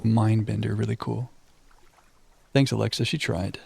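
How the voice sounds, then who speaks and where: A man's voice: on the low side, flat delivery, unhurried, vocal fry, darkish tone. A man hosting a game livestream, chatting casually and self-deprecatingly with viewers and reading on-screen text aloud into a close microphone.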